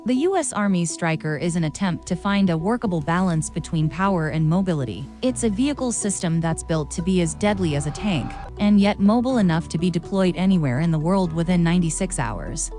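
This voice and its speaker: A woman reading scripted documentary narration in a measured, authoritative manner. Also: on the low side, expressive, dark tone. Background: music.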